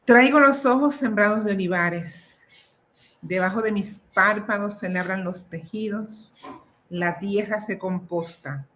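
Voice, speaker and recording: A middle-aged woman from the Republic, presenting and reading her own poetry in a deliberate, expressive way. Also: medium pitch, slight background noise, poor audio. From Dominican Republic. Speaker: poet and professor presenting own work. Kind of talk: poetry reading with informal introductions.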